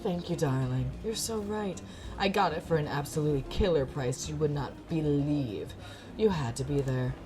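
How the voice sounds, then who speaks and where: A woman's voice: low-pitched, normal speed, over music, close to an everyday voice. A woman voicing a vain, fancy cat in a visual novel, haughty and gushing about itself. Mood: gushing, self-satisfied. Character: vain, fancy, self-absorbed pampered cat with pink ribbon.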